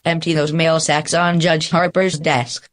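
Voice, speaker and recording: An actor playing a confident, theatrical defense lawyer, formal and emphatic, in a radio courtroom drama. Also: male voice, a little high, level tone, unhurried, close to an everyday voice. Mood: triumphant. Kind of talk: radio play courtroom acting.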